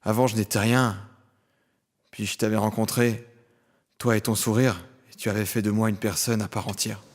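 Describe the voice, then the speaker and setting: Un acteur joue un jeune homme tourmenté, ancien orphelin des rues, dans une fiction audio au ton solennel et littéraire. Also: male voice, lowish voice, flat delivery, moderate pace, natural voice. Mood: wistful, solemn. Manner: solemn, literary, nostalgic. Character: brooding young man, former street orphan, recalling childhood with emotion. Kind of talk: dramatic first-person audio drama narration.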